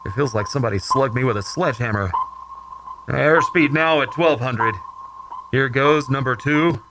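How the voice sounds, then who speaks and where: A man's voice: mid-range voice, normal speed, very noisy. An actor playing a bold, focused male rocket plane test pilot, giving clipped technical reports in old-time radio drama style.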